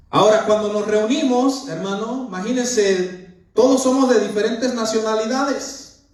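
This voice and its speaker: A man's voice: high-pitched, darkish tone. A man preaching a sermon as pastor, earnest and emphatic, repeating phrases and addressing listeners as brothers. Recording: very echoey room, mic far off.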